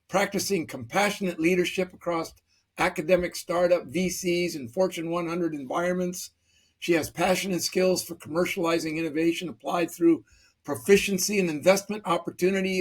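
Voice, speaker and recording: A man hosting a podcast, reading a formal guest introduction in a measured way. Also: high-pitched, slightly rough. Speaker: podcast host.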